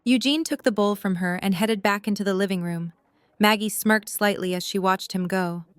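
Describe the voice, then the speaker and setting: A woman's voice: medium pitch, normal speed, clear, resonant tone, dry recording. A woman reading scripted story narration as the narrator of an audio drama.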